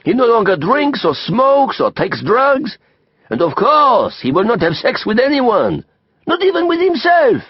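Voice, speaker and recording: Audiobook role: florid, opinionated French man of the world; French accent, animated high-lifted delivery, husky edge. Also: moderate pace, dry recording, highs cut off. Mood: dramatic dismay. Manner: emphatic, declamatory, repeats phrases for effect. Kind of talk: audiobook character acting.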